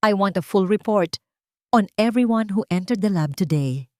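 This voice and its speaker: Woman reading as a gentle, protective executive man. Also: medium pitch, animated, moderate pace, no room sound, clean studio sound, close to an everyday voice. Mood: alarmed, protective. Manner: soft-spoken, earnest.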